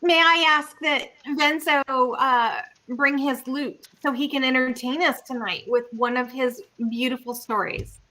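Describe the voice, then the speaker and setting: A woman's voice: high voice, fairly even intonation, a little slow, few pauses, sharp tone, rough recording. A woman playing a tabletop game online, speaking casually in character.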